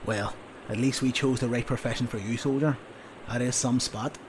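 A man voicing a blustering, sarcastic army sergeant who lectures a young soldier in loud, drawn-out scolds. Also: medium pitch, steady tone, background noise, natural voice. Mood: gruff orders. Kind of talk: audiobook character voice.

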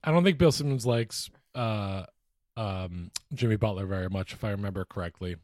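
A man's voice: on the low side, moderate pace, a little mellow, no echo. An American man co-hosting a podcast in a casual, conversational sports-chat style. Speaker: podcast co-host.

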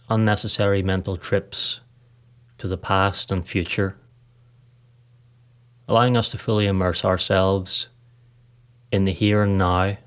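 A man leading a scripted guided meditation in a calm, measured manner, leaving pauses between short phrases. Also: lowish voice. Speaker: podcast host, meditation guide.

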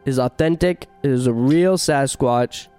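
Earnest, smooth-voiced podcast host. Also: male voice, medium pitch, unhurried, a little mellow, faint music behind. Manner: earnest, laughing, explains with conviction. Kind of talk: casual chat.

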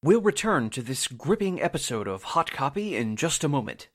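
A man's voice: mid-range voice, very animated, normal speed. A man announcing a scripted old-time radio drama in a dramatic, emphatic manner.

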